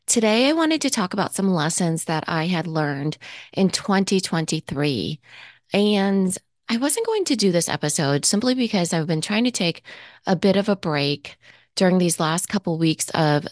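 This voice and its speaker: A woman with a fairly low voice talks through her podcast in an earnest, reflective way, stopping now and then to restart a thought. She sounds slightly stuffy, as if fighting a cold. The recording is close and dry, with no room sound. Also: no room sound. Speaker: podcast host. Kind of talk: reflective solo podcast talk.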